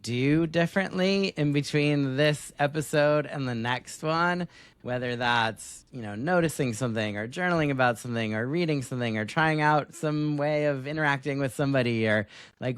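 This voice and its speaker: A man hosts a podcast conversation in a smooth, resonant voice recorded close and dry. He keeps affirming his guest with murmurs and asks gentle, open questions. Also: medium pitch, no room sound. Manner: affirming, frequent "mm-hmm" backchannel. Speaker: podcast host, interviewer. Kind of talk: casual interview conversation.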